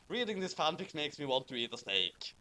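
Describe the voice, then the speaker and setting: High-voiced man with a bright edge. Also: sing-song, normal speed. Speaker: host of fanfiction reading commentary. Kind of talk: comedic dramatic reading with casual commentary.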